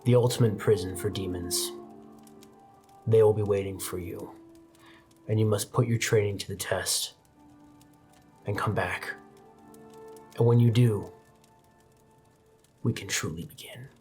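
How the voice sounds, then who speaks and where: Woman doing gruff solemn sword master guy, dry and caring, very low voice, music behind. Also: fairly even intonation, a little slow, pauses often, darkish tone.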